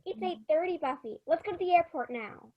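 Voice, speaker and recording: A woman's voice: very high-pitched, animated, a little fast, lo-fi sound. A female performer playing an eager, anxious little girl, plaintive and insistent, in an old-time radio drama. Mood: pleading, insistent.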